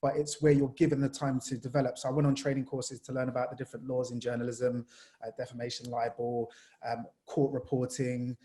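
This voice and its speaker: Jamaica-born young male sports journalist, warm mellow voice, animated, loose chatty delivery. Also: mid-range voice, fairly quick. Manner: animated storyteller, many fillers. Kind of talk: casual panel talk.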